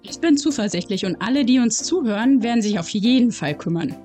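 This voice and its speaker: A woman co-hosts a podcast in a warm, fairly high voice. She moderates in a friendly, upbeat way. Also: expressive, fairly quick, faint music behind. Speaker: podcast co-host. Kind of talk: podcast interview hosting.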